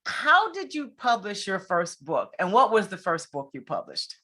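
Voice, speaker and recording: A woman's voice: mid-range voice, sing-song, moderate pace, sharp tone. A woman hosting a casual online interview, informal and encouraging as she asks her questions. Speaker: interview host.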